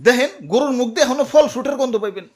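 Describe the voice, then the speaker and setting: Man playing a fast, high-voiced sly cattle farmer. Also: faint music behind. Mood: brazen boasting. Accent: Bangladeshi. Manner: wheedling, brazen. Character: greedy, sly male cattle farmer, smooth-lying salesman. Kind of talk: cartoon comedy dialogue in colloquial dialect.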